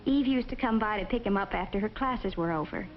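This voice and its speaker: A woman's voice: medium pitch, a little fast, soft background music, natural voice. An actress playing a young college woman who narrates reflectively in a 1950s educational film. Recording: muffled, hissy 1950s film soundtrack.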